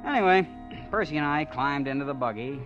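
An actor playing a naive, eager small-town schoolboy in a 1940s radio drama, chatty and hesitant, on a muffled old broadcast. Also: male voice, fairly high pitch, expressive, a little fast, over music, natural voice. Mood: reminiscing.

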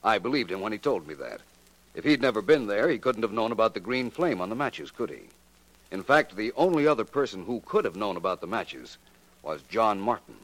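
An actor with an American accent playing a suave, assured detective in a 1940s radio drama, measured and pointed in delivery. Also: male voice, lowish voice, very animated, dry recording, rough recording. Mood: composed, explaining. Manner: measured, pointed, coolly deliberate. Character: suave, assured male amateur detective solving a murder. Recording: vintage 1940s radio recording.

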